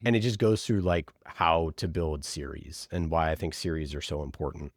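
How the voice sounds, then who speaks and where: A man with a low, deep voice talks as a guest in a casual interview. He is emphatic and informal, often slipping into little quoted asides. The sound is close and dry. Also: moderate pace, no echo. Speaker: podcast producer, interview guest. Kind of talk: casual conversational interview answers.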